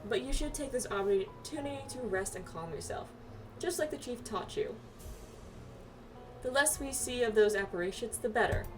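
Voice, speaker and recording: A woman voicing a worried but steady companion from a fantasy game, earnest and gently admonishing. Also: fairly high pitch, moderate pace, lightly acted. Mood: caring, firm. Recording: distant mic, music behind.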